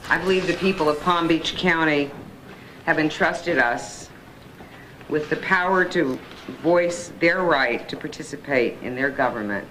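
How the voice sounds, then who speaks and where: Female board official, forceful bright tone, formal meeting statement, light background noise. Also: sits low, unhurried, rough recording. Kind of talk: formal motion at a public meeting.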